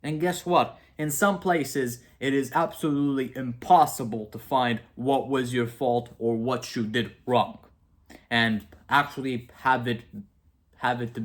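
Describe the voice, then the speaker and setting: A man's voice: mid-range voice, steady tone, a little slow. A young man with a Romanian accent, talking casually to camera about philosophy, rambling and self-mocking with frequent asides. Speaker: video creator.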